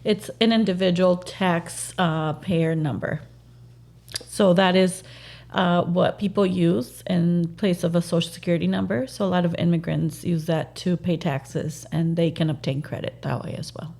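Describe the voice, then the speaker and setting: A woman realtor, a native Spanish speaker, talks earnestly in a casual interview, pausing often on fillers. Her voice sits low and dark, with a gravelly edge. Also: fairly even intonation. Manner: earnest, halting with frequent fillers.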